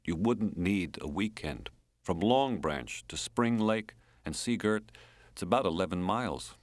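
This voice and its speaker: A man's voice: deep voice, animated, unhurried, no room sound. A man reading an audiobook as an earthy, wry American man reminiscing, speaking in clipped colloquial phrases with an American accent. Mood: fond, plain.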